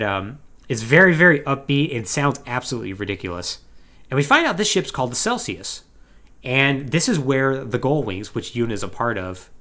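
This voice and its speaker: A man co-hosting a podcast, giving a casual, comedic plot recap in an emphatic, conversational way with wry asides. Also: medium pitch. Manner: animated, emphatic storytelling. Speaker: podcast co-host.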